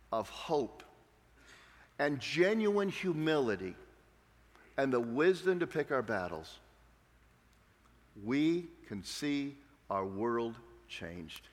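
A man preaching a sermon as pastor, emphatic and rhetorical, then closing in prayer. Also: fairly high pitch. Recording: long reverb of a large hall.

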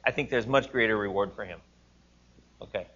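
A man's voice: lowish voice, fairly quick, a bit bright, breathy edge, highs cut off. A man leading a class discussion, explaining earnestly and fielding questions from students, thinking aloud with restarts.

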